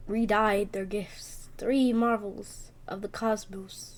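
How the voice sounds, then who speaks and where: A woman's voice: medium pitch, steady tone, slow pace. A woman hosting a gaming video, casually commenting and thinking aloud as she plays. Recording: loud game music behind voice.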